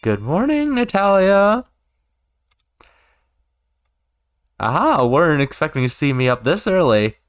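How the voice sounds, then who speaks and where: A man's voice: on the high side, big pitch swings, normal speed, clean tone, pitched above the performer's own voice. A man voicing a doting, anxious and clingy young woman in a visual novel read-aloud. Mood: cheerful.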